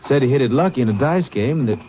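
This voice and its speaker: An actor playing an affable, helpful sawmill worker with a folksy way of talking in a radio western. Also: male voice, medium pitch, lively intonation, fast talker, natural voice. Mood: pondering. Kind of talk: old-time radio western acting. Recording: dull, hissy old radio recording.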